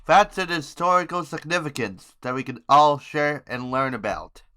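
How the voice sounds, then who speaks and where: A man hosting an amateur news podcast, reading headlines haltingly with informal asides and stumbles over words and names. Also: fairly high pitch, animated, bright, forceful tone, smooth.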